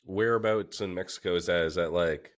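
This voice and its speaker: A man's voice: deep voice, brisk. A man hosting a podcast, chatting casually, joking and asking questions. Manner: joking, curious, swears freely. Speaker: podcast host. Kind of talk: casual chat.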